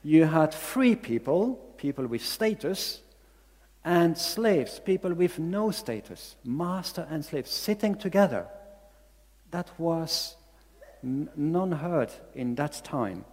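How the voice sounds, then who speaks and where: A man's voice: a little high, expressive, slow pace, darkish tone, long reverb tail. A man from Belgium with a Belgian accent, preaching a sermon in English as a second language, earnest and repeating key phrases for emphasis. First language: not English. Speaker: pastor.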